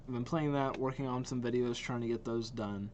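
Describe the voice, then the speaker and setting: A man's voice: mid-range voice, fairly even intonation, brisk, a little mellow, a little hiss or hum. A man hosting a casual reaction vlog, talking informally and enthusiastically, with some hesitation. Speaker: video host reacting to game trailers.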